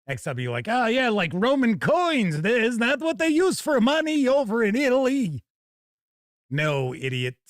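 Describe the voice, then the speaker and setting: A man's voice: extremely high pitch, huge pitch swings, measured pace, no echo, clean studio sound. An American man hosting a live tabletop gaming news show, mixing scripted items with casual, theatrical, jokey asides. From United States.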